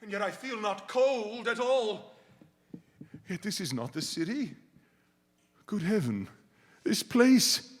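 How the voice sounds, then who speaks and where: A man's voice: sits high, lively intonation, slow, long pauses. A man playing a miserly, nervy old man in live radio theater, theatrical and hesitant, given to muttering. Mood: wistful. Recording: reverberant live theater hall.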